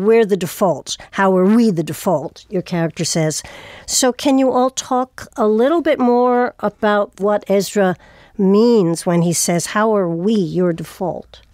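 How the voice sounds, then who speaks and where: A woman's voice: mid-range voice, a little mellow, no echo, clean studio sound. A woman hosting an interview, putting prepared questions to her guests. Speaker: interviewer, host.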